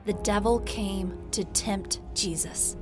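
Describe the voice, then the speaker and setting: A woman with a mid-pitched voice narrates a scripted story for children. Her sentences are short and simple, and music plays faintly behind her. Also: fairly even intonation, takes it slowly.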